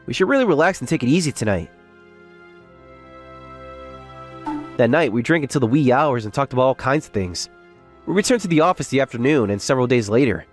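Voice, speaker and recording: Man acting an animated, earnest young office worker. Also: mid-range voice, fast, faint music behind, natural voice. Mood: narrating, relaxed. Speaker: narrator and lead character. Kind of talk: manga dub acting with first-person narration.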